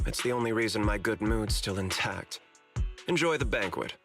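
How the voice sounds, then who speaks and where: An actor playing a candid, politely ambitious corporate security chief in a video game, speaking in an articulate, confiding way. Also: male voice, on the low side, animated, normal speed, a bit bright. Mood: genial. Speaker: corporate manager character. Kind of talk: video game story dialogue. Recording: music behind the voice.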